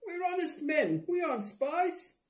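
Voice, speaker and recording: A meek, frightened young fellow in a whiny falsetto far above a normal speaking voice. He pleads anxiously, as in a storybook reading, in an echoey room. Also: very animated, measured pace, exaggerated voice. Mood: anxious pleading. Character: frightened meek brothers pleading in squeaky unison. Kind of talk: dramatic storybook reading with toys. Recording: roomy indoor echo.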